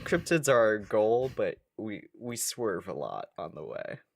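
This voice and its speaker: A man's voice: fairly low pitch, big pitch swings, unhurried, vocal fry, no room sound, studio quality. A man co-hosting a podcast in casual comedic banter, rambling along playfully. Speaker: podcast co-host.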